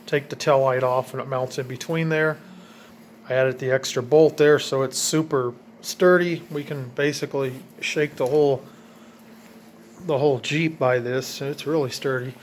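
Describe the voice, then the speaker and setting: A man's voice: medium pitch, fairly even intonation, normal speed. A man giving a casual, plainspoken walkthrough of his own do-it-yourself work, informally pointing things out as he goes. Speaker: DIY hobbyist showing his own install. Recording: noticeable ambient background noise.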